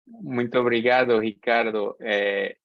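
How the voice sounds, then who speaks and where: A Brazilian man with a Portuguese accent speaks slowly and haltingly, pausing often as he searches for words. He sounds like a guest speaker on a video call. The audio is dull and dry, with no room sound. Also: medium pitch, fairly even intonation, dry recording. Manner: halting, many hesitations, mixes Portuguese into Spanish. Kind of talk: thanking at the close of a webinar.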